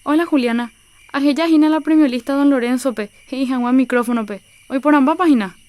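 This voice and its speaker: Village teacher as festival announcer played by a woman: high, crisp, Paraguayan, radio drama. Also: fairly quick, a little mellow, no room sound, lightly acted. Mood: announcing.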